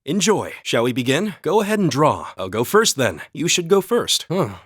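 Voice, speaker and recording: A man voicing a calm, earnest young highland warrior in a video game, with measured, slightly formal phrasing. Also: slightly rough, dry recording, studio quality, full character voice. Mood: calm, friendly. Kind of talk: English video game voice acting.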